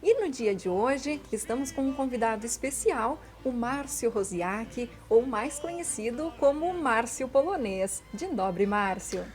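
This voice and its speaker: Woman, show host, polite and formal, fairly high voice, faint background music. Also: lively intonation, a little slow, hardly any pauses, darkish tone. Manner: polite, formal introductions and questions. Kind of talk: interview hosting.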